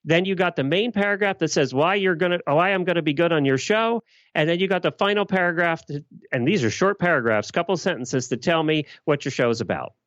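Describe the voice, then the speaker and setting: A man's voice: a little high, a little fast, fairly bright tone, dry recording, highs cut off. A man giving blunt, emphatic answers in a conversational podcast interview. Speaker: podcast guest.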